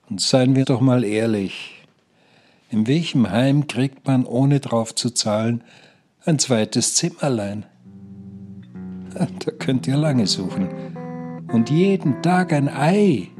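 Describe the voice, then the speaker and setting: Actor as insistent old pensioner, slow and deliberate. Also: male voice, medium pitch, natural voice. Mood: coaxing. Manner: declamatory, insistent, repeats himself. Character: older male care-home pensioner, stubbornly positive persuader. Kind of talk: German radio play acting.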